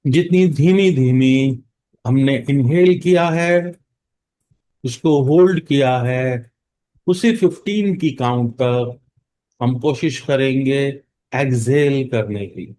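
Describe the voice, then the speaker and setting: Very slow-speaking Indian man guiding meditation. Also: medium pitch. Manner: calm, instructive, long pauses between short phrases. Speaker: guided meditation instructor. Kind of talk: guided meditation, mixing Hindi and English.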